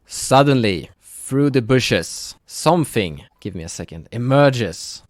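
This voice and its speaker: A man improvising narration as a game master, dramatic but often halting and correcting himself. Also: mid-range voice, animated.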